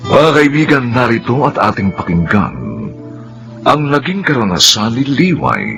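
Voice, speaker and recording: A man's voice: mid-range voice, very animated, normal speed. A Filipino man narrating a radio drama in a solemn, scripted style. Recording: radio broadcast with loud music.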